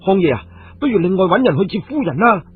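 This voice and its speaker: Male narrator as panicky traitor servant, Cantonese, high and raspy, stammering, tinny radio-story recording. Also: big pitch swings, fast talker, dry recording, soft background music, heavily acted. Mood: anxious. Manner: stammering, pleading. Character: frightened male traitor servant, cowardly and pleading.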